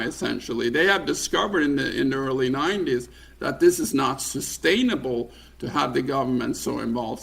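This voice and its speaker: A man's voice: medium pitch, vocal fry. A man from Sweden, an economist guest on a radio panel, arguing his point with many fillers and restarts.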